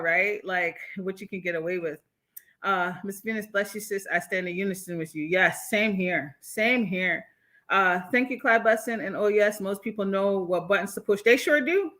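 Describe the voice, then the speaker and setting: A woman with an American accent hosting a casual livestream, reading and answering viewer comments in an animated, candid, slangy way. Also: fairly high pitch. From born in Jamaica, moved from the United States. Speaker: livestream host.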